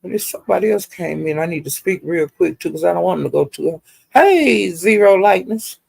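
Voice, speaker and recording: A woman's voice: fairly low pitch, lively intonation, fairly quick, gravelly, darkish tone, breathy edge, no room sound, rough recording. An older woman, chatting casually to live viewers as a livestream host in a folksy, rambling, salty-tongued way.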